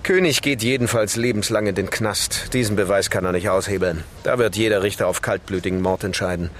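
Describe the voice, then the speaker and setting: A man acting as a self-satisfied police inspector with a lowish voice. He sounds assured and matter-of-fact, in natural radio-drama style. Faint urban street noise sits behind him. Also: fairly quick, a bit bright, close to an everyday voice. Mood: confident, dismissive. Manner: matter-of-fact, assured, slightly hesitant when confiding.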